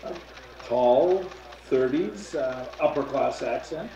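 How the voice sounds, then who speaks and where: Man playing a mellow inspector, echoey sound. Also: medium pitch, animated, takes it slowly. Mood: puzzled. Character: puzzled, dogged police inspector. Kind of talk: radio mystery play acting. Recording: dull, echoey, faintly noisy video call.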